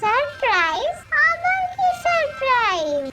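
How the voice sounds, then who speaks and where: An excitable young wife in a cartoon, full of teasing, played by a woman. Her voice is extremely high and swings widely, in slow drawn-out phrases. Loud music runs underneath. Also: exaggerated voice. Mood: excited. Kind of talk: cartoon voice acting.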